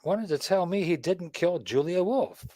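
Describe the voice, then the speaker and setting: A man's voice: sits high, lively intonation, unhurried, warm tone. A man playing a witty, nonchalant amateur detective and husband in a vintage radio mystery. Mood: evasive, dry. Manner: wry, evasive banter.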